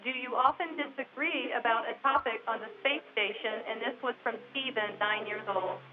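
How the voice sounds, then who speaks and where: A woman moderating a live event, reading out children's questions in a clear, formal manner. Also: a little high, moderate pace. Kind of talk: moderating a live link with schools. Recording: dull lo-fi audio with a crowd behind.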